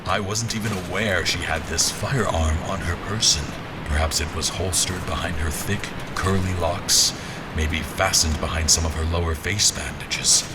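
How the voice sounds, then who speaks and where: A man playing a seasoned general who narrates his sea adventure in a measured, literary, dramatic style for an audio drama. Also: sits low, steady tone, very noisy, natural voice. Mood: measured suspense. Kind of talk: dramatic first-person scripted narration. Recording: music under the voice.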